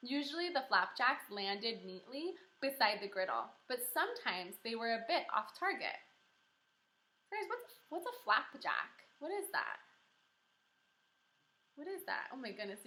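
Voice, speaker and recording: A woman teaching kindergarten through a read-aloud lesson, encouraging and animated, asking questions and pausing for answers. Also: on the high side. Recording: roomy echo.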